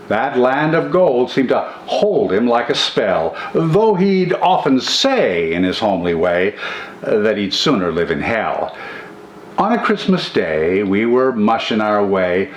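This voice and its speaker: An older man reciting a narrative poem from memory with enthusiastic, theatrical delivery. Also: medium pitch. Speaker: poetry reciter. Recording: roomy echo.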